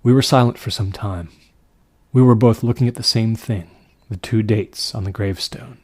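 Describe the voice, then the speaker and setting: A man narrates a classic horror tale in a fairly low register. His delivery is measured and deliberate, with a slightly husky grain. The recording is dry and close. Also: darkish tone, no echo. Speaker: audiobook narrator.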